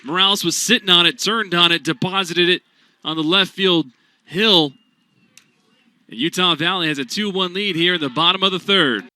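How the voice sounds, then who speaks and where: A young man calling baseball play-by-play for radio in an animated, punchy sportscaster style. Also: sits high, moderate pace, dry recording. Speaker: play-by-play announcer.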